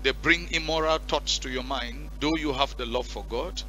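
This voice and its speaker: A man preaching on a church stage into a headset mic, his voice bright and forceful, firing commands with heavy emphasis. Faint music sits under his words. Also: mid-range voice, normal speed. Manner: commanding, shouting at spirits. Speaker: pastor. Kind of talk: deliverance prayer and counseling. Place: church stage with curtain backdrop.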